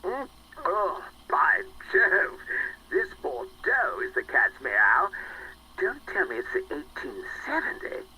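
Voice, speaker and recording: Bright, excitable posh English gent. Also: male voice, a little high, slow, a little hiss or hum, full character voice, poor audio. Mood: jovial, bumbling. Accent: upper-class English. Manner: plummy, effusive, excitable. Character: jovial, bumbling upper-class British gentleman guest. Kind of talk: period audio drama acting.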